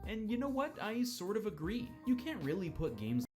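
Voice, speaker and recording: A man's voice: high-pitched, very animated, moderate pace, a little mellow. A man narrating a scripted video countdown, wry and upbeat. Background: faint music.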